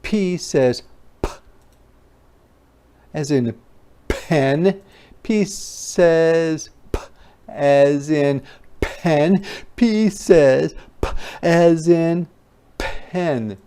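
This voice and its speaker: A teacher reading aloud to young children in a phonics lesson, enunciating letter sounds and words with exaggerated care and adding playful, expressive asides. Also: mid-range voice, very slow, pauses often, clear, resonant tone.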